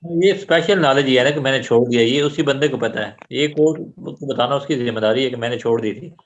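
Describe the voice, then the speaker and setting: A man's voice: medium pitch, a little fast, a little hiss or hum. Male student on a dull recording. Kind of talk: classroom discussion.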